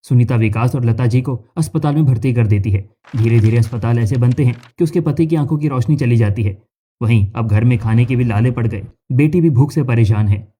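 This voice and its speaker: A man's voice: medium pitch, brisk, mellow. A man reading scripted narration for a cartoon story.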